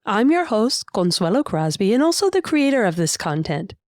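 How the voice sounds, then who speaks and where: Upbeat woman podcast host, lively pitch, dry close mic, tosses in Spanish words. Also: normal speed, dry recording. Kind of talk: scripted intro then interview.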